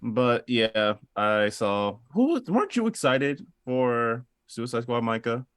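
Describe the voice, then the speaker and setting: A man's voice: mid-range voice, sing-song, slow, clear, resonant tone, dry recording, studio quality. A man chatting casually as a panelist on a gaming podcast. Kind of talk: casual chat.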